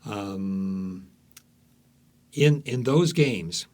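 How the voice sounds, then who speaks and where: Low-pitched man telling stories, haltingly. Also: lively intonation, darkish tone. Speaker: author interviewed as guest. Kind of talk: conversational interview storytelling.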